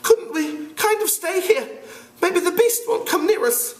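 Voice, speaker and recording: A man voicing an anxious, frightened boy with glasses in a read-aloud of a novel, using a high, whiny voice. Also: animated, a little slow. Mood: fearful. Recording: echoey room.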